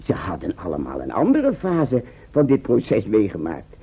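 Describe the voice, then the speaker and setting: An actor in a Dutch radio play playing an eccentric, self-assured time traveller who explains patiently and checks that listeners follow. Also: male voice, on the low side, huge pitch swings, moderate pace, slightly rough, exaggerated voice. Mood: storytelling. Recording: muffled, band-limited old radio recording.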